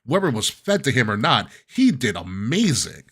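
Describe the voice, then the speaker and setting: A man co-hosting a podcast, giving opinionated, animated commentary. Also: mid-range voice, moderate pace, slightly rough. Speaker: podcast co-host. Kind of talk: casual chat.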